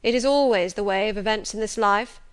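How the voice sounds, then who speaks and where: A woman voicing an imperious, teasing middle-aged gentleman in an audiobook, with formal, pompous and emphatic delivery. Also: mid-range voice, a little fast, clean tone, natural voice. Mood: composed, commanding. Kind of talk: audiobook character dialogue.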